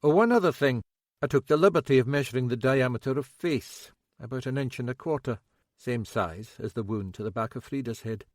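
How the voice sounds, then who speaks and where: A man voicing a steady middle-aged Scottish police sergeant with a plain, dutiful, matter-of-fact manner and a Scottish accent. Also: mid-range voice, brisk, dry recording, studio quality, lightly acted.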